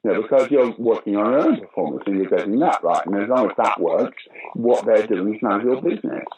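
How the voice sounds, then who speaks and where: A man's voice: fairly low pitch, animated, quick pace, muffled recording, slight background noise. A man in his sixties being interviewed about his acting career, telling stories animatedly, often restarting and putting on the voices of people he quotes. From United Kingdom. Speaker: actor and director being interviewed. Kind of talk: casual interview chat.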